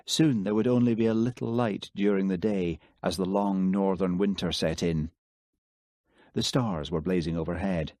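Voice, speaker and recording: A man reading a crime novel aloud in measured, calm storytelling narration. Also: sits low, no echo, studio quality. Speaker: audiobook narrator. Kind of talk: scripted narration.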